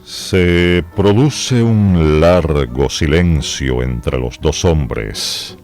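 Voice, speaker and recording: A man narrating a scripted radio drama in a measured, dramatic manner. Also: extremely low pitch, a little mellow, exaggerated voice. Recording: music under the voice.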